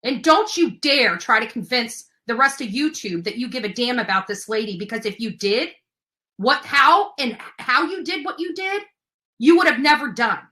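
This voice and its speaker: A woman hosting a livestream in a bright, sharp voice pitched on the high side. She rants with indignation, leaning hard on her words. Her delivery is confrontational and emphatic, and she is willing to swear. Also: expressive, normal speed. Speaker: livestream host. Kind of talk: impassioned livestream rant.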